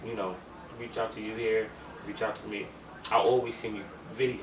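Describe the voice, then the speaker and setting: A man's voice: fairly low pitch, level tone, fairly quick. A man speaks at a distance from the mic in a roomy, noisy space. He co-hosts a podcast with casual chat and storytelling, emphatic and slangy, often repeating himself. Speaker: podcast co-host. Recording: echoey room, distant mic, clear noise.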